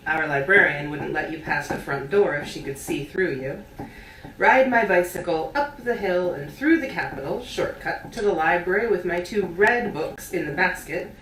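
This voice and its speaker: A woman reads a literary piece aloud with expression in a slightly low voice. She sounds set back from the microphone in a roomy, echoing space, with faint noise in the recording. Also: far from the mic. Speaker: reader.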